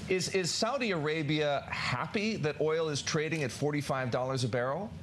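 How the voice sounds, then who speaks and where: A man's voice: on the high side, normal speed, noisy background. A man working as a news interviewer, putting formal, probing questions in a broadcast style.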